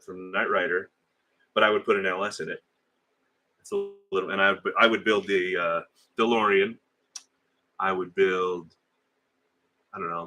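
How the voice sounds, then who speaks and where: Low-voiced man chatting on a livestream. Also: sharp tone. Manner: rambling, conversational, hesitant with fillers. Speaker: livestream host. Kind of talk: casual live chat reading viewer comments.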